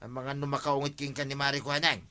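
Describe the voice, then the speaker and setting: A man's voice: medium pitch, little pitch movement, fast talker, clean tone, lightly acted. A man with an Ilocano accent playing a nagging, fed-up village husband in a comedy drama. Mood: scolding. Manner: scolding, forceful.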